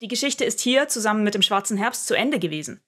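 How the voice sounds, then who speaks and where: Man doing a high, matter-of-fact colleague. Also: expressive, fast talker, bright, forceful tone, breathy edge, exaggerated voice.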